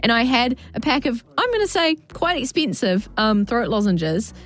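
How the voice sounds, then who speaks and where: A woman's voice: on the high side, very animated, moderate pace, fairly bright tone. A woman co-hosting a radio show in casual chat, teasing her co-host with dry asides.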